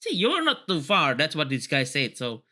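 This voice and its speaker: A man hosting a read-along, moving between reading a story aloud and excitable, casual commentary full of tangents. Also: fairly high pitch, moderate pace. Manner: excitable, often laughing and digressing.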